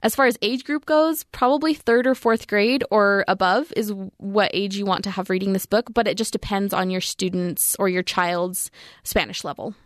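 A woman's voice: mid-range voice, moderate pace, dry recording. A young woman student presenting her book review in a small, dry college radio studio. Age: 20s.

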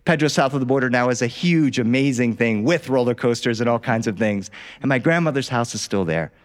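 A man's voice: medium pitch, a little fast. A man telling a personal story live, as a practiced storyteller does. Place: studio, live performance.

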